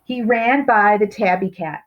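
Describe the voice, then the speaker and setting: A woman's voice: mid-range voice, unhurried. A woman reading a children's storybook aloud expressively, with little asides to the listeners. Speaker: storybook reader. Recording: slightly dull, lo-fi sound.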